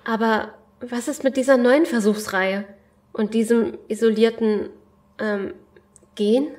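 A woman's voice: fairly high pitch, steady tone, a little slow, a little mellow, lightly acted. A woman playing a cautious, questioning survivor in a German audio drama. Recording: echoing reverberant hall.

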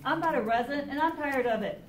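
Echoey, higher-pitched middle-aged woman at a meeting. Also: fast talker. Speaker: chaplain and activist speaker at a public meeting. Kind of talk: public testimony, reading a statement and appealing. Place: public library meeting room, indoors. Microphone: camera a few metres away. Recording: echoey meeting room, distant camera, faint noise.

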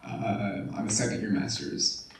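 A young man from Texas, a bassoonist, introducing himself and a piece in a relaxed plain way. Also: unhurried, a little hiss or hum. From Texas, USA. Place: library concert hall. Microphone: handheld mic. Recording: echoey hall, distant.

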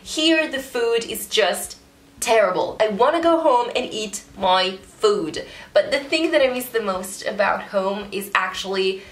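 A woman's voice: mid-range voice, unhurried. A young woman in her twenties, a native Italian speaker with an Italian accent, chatting casually as she answers viewer questions in a vlog. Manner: chatty, animated, gestures while talking. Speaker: vlogger. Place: small bedroom, indoors. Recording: roomy bedroom echo.

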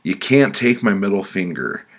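A man's voice: low voice, sing-song, very slow, voice pushed up, exaggerated voice. A man voicing a desperate, bewildered condemned man who pleads and protests in a told story. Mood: pleading. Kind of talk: storytelling character voice.

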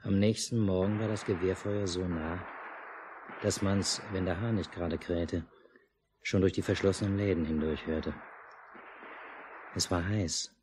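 A father with a very deep voice, calm and understated. He speaks in the measured, literary manner of 1950s radio drama. The mono recording carries slight noise. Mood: calm, descriptive. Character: calm, unruffled family father narrating wartime lakeside life. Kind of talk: 1950s German radio play acting, literary narration.

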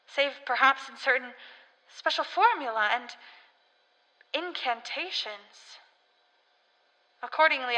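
An actress playing a grave, learned scholar narrating a horror radio drama in formal, deliberate literary language. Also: female voice, sits high, sharp tone. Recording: reverberant hall-like echo.

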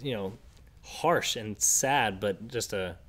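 A young adult man talking casually as a podcast host, animated and often acting out imagined lines. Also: lowish voice, slow pace. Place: indoor room, seated group. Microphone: shared desktop microphone.

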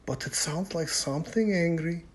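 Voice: medium pitch, moderate pace, breathy edge, pitched above the performer's own voice. A storyteller voicing a curious little Viking girl who wonders aloud in a storybook reading. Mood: wondering. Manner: wondering, hushed.

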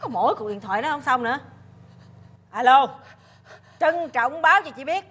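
A woman's voice: high voice, lively intonation, moderate pace, fairly bright tone, slightly rough, full character voice. A woman playing a bossy, short-tempered kidnapper in a comedy sketch, with a southern Vietnamese accent. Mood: impatient, bossy.

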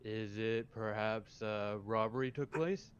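A man playing a polite, measured police officer in a game roleplay, speaking formally and hesitantly over voice chat. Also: on the low side, dull audio, a little hiss or hum, close to an everyday voice. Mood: measured, questioning.

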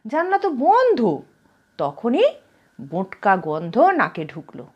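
A woman's voice: fairly high pitch, wildly swooping pitch, slow, a little mellow, husky, highs cut off, full character voice. A woman voicing a fearless, plain-spoken older widowed aunt in a story, exclaiming and asking dramatic questions. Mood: reminiscing.

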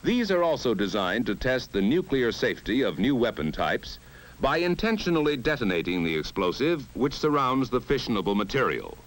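An American man reading scripted narration for a mid-century instructional film in an authoritative, measured, emphatic way. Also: medium pitch, very animated, low-quality recording.

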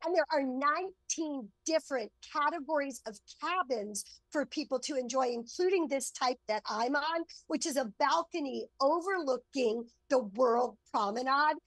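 A woman's voice: high-pitched, normal speed, few pauses, no echo. A middle-aged woman speaking enthusiastically as a travel expert, giving an upbeat promotional segment. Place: on board a cruise ship, remote link.

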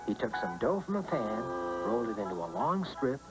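Expressive man narrating a storybook. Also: on the low side, normal speed, loud music behind the voice.

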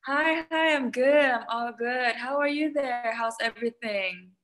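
A woman's voice: a little high, a little slow, a bit bright. Upbeat young woman hosting an online talk. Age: young adult. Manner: upbeat, scripted announcements. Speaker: moderator host. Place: indoor room. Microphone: laptop webcam on video call.

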